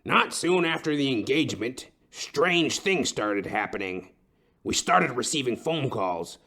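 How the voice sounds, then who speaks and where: Florid, swooping older tycoon. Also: male voice, medium pitch, lively intonation, a little slow, vocal fry, sharp tone, exaggerated voice. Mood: grave, mournful. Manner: florid, theatrical, pompous storytelling. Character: melodramatic, lovesick, frightened older timber tycoon client. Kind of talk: comedic detective audio drama acting.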